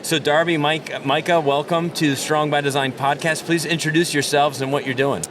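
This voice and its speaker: A man's voice: fairly high pitch, steady tone, moderate pace. A man casually hosting a conversational podcast from a noisy convention floor. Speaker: podcast co-host. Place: convention booth, live recording. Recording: noisy busy convention crowd. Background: crowd ambience.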